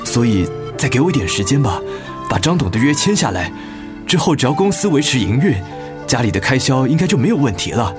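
Actor playing an earnest, pleading middle-aged Taiwanese husband, very expressive. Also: male voice, medium pitch, fairly quick, lightly acted. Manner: gentle, pleading. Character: earnest, apologetic husband trying to win back his wife. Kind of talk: Mandarin radio drama acting. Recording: music bed under the voice.

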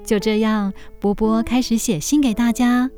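A woman with a fairly high voice narrates a children's audio story. Her delivery is scripted and expressive, made for storytelling, with music playing behind her. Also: moderate pace.

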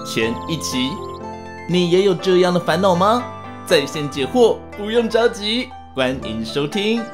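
A woman's voice: on the low side, animated, unhurried. A woman announcer reading a scripted introduction to an audio drama. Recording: clear music bed.

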